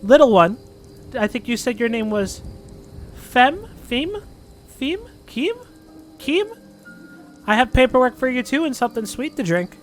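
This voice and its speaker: A man voicing a brisk, motherly female barkeep who talks down to the adventurers, calling them sweetie and little one. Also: extremely high pitch, big pitch swings, soft background music, voice pushed up, exaggerated voice. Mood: teasing. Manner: patronizing, calls people sweetie and little one.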